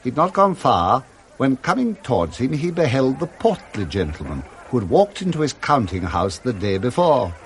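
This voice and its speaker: Expressive English male storyteller, lively pitch. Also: moderate pace, a little hiss or hum. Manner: expressive, relishing the words. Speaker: narrator. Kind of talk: dramatic storybook narration for radio.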